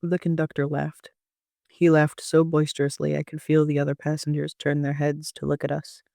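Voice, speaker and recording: A man narrating a first-person story like an amateur audiobook reader, with an even storytelling delivery. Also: fairly high pitch, normal speed, creaky, no room sound, studio quality.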